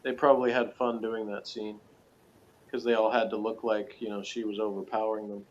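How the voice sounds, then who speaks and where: A man's voice: on the low side, little pitch movement, brisk, a little hiss or hum. A man co-hosting a review in casual chat, with a wry, joking way of talking. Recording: slightly dull, lo-fi sound.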